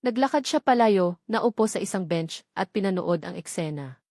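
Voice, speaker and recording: A woman's voice: mid-range voice, animated, moderate pace, darkish tone, no echo, studio quality. A woman narrating a scripted dramatic story as an audiobook narrator.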